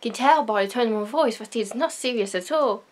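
A woman's voice: fairly high pitch, fairly quick. A teenage girl rambling in a casual, self-mocking vlog, talking into a webcam in her bedroom. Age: teens. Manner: rambling, self-mocking, loud sudden outbursts. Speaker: vlogger. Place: small bedroom, indoors. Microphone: webcam at desk.

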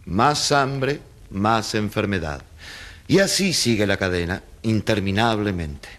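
An actor playing a grave doctor giving emphatic, didactic expert testimony in a radio courtroom drama. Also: male voice, fairly low pitch, expressive, fairly bright tone. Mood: emphatic, sombre. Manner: emphatic, didactic, measured declarations.

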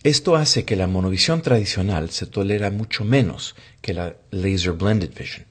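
A man's voice: sits low, normal speed, slightly rough. A man narrating a scripted medical explainer video in a formal, instructional manner.

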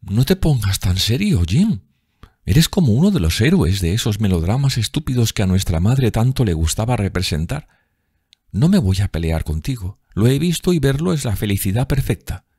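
Un hombre que da voz a una joven actriz enamorada y risueña, con un habla musical y exclamativa, en un audiolibro. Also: male voice, lowish voice, big pitch swings, moderate pace, warm tone, husky, no room sound, clean studio sound, voice pushed up, heavily acted. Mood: scolding, affectionate. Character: giddy, lovestruck young woman, a dreamy actress.

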